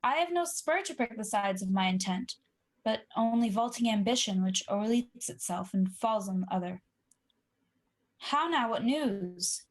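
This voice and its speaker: A woman's voice: on the high side, animated, unhurried. A woman playing a wavering, guilt-ridden nobleman in a 1940s-style radio play, with earnest, troubled delivery. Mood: brooding.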